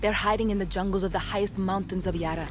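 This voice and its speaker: A woman plays a commanding revolutionary leader in a video game. Her mid-pitched voice is firm, earnest and purposeful, setting out orders in a measured way with music behind her. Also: steady tone, close to an everyday voice. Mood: determined, grave. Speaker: rebel leader giving a mission briefing. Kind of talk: video game character acting.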